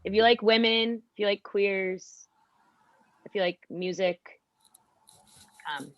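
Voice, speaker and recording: A young adult podcast guest chatting casually, rambling with lots of "like" and restarts. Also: female voice, mid-range voice, slow, dry recording. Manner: rambling, heavy use of "like". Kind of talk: casual chat. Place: college radio studio. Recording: dull lo-fi dry sound.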